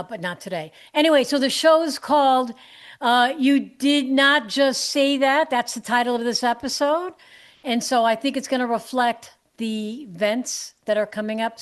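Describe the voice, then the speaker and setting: Lively laughing woman podcast host. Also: a little high, clear, resonant tone. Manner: animated, laughing, quick to exclaim. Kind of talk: casual comedic chat.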